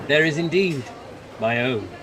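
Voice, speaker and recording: A man playing a stern, solemn Christmas spirit in an amateur radio play, speaking in a deliberate, formal way. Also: a little high, expressive, a bit bright, a little hiss or hum. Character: solemn, rebuking male Spirit of Christmas Present.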